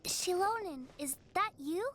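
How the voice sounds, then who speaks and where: Chirpy little girl game character: very high, animated. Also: a little slow, a little hiss or hum, full character voice. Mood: eager, sweet. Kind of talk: English video game voice acting. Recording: loud game music behind voice.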